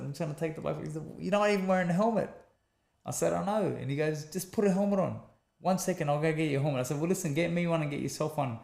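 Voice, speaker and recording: A man's voice: a little high, vocal fry, reverberant. A man with an Australian accent, a former boxer being interviewed, telling his story casually and acting out what people said, often repeating himself and adding "man". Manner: animated storyteller acting out quotes, repeats phrases, says man a lot. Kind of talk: conversational storytelling.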